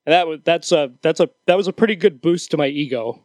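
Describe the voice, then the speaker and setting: A man's voice: a little high, quick pace, darkish tone, no room sound. A man hosting a podcast, telling a story in an earnest, casual way. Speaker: podcast host.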